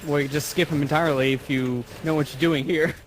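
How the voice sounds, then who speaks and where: A man's voice: a little high, steady tone, brisk, a little hiss or hum, rough recording. A man bantering casually as a co-commentator on a video game playthrough. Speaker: let's play co-commentator.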